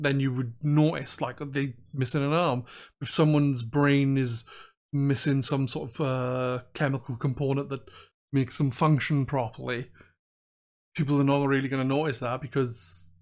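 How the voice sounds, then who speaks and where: A young man with a Welsh accent co-hosting a film podcast, chatting casually, rambling and laughing often. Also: mid-range voice. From Wales. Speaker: podcast host. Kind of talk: casual chat.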